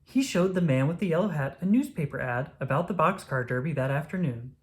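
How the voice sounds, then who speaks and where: Expressive woman reading a children's storybook. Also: deep voice, a little fast. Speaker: storybook reader. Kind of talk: children's read-aloud narration.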